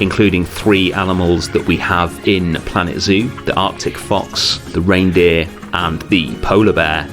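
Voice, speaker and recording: Young guy, really low voice, chill game build commentary with some ums, guitar music under it. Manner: easygoing, steady, with frequent ums and uhs. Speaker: game channel host. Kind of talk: relaxed build commentary over gameplay.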